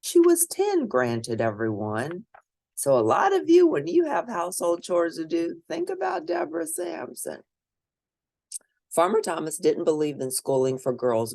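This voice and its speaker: Expressive woman reading aloud, very animated pitch. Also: no echo, studio quality. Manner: deliberate, expressive, teacherly. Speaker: children's read-aloud narrator and educator. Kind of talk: picture book read-aloud with asides to young listeners.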